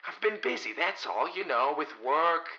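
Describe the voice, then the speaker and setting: A man's voice: fairly high pitch, lively intonation, moderate pace, bright, forceful tone, highs cut off, voice pushed up, heavily acted. A man playing an earnest, anxious and wry private detective in a 1930s radio mystery, exclaiming urgently and stammering when evasive.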